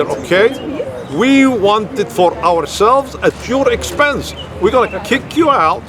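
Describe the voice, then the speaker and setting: A man from Palestine, an activist, speaking earnestly in a street interview at an outdoor rally. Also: sits high. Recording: outdoor recording with loud crowd.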